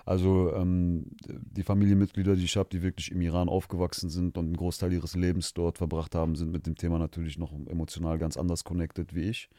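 A man from Germany talks frankly as a podcast guest, getting heated and swearing without a second thought. His voice sits very low with a mellow, dark tone. The sound is close and dry. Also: level tone, quick pace, few pauses, no room sound. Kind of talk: candid conversational interview.

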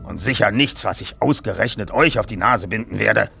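A man voicing a gruff, irritable, heavyset chief editor in an audiobook, blustering, brusque and dismissive. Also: lowish voice, normal speed, pushed and bright, faint music behind, full character voice.

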